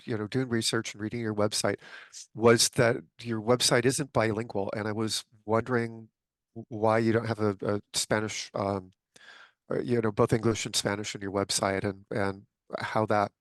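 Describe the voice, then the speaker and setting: Man hosting an online interview, low voice, dry sound, loose questions full of fillers. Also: no echo. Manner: relaxed, filler-heavy questions. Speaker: interview host. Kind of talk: conversational interview.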